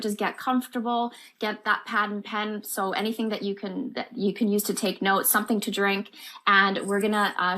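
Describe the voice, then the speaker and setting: A woman's voice: mid-range voice, bright, forceful tone. A woman presenting a webinar in an enthusiastic, polished sales manner.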